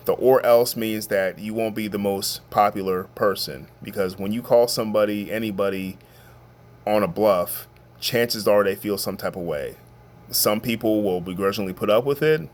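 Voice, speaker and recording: A middle-aged man with a deep, bassy voice giving an informal advice monologue, talking conversationally and stressing his points. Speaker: podcast host giving family advice.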